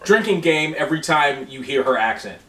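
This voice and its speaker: A man reviewing a movie in casual, profane chat, excitable and quick to restart his sentences. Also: a little high. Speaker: co-host of movie review video. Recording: echoey room, far from the mic.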